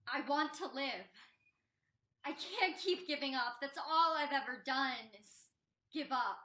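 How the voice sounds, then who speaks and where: A young woman acts out a defiant, chatty female addict in a stage play. Her voice is high and bright, rambling along in casual, evasive storytelling. The room sounds echoey around her. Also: measured pace. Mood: resolved. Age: young adult. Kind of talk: student one-act stage play acting. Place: indoor stage.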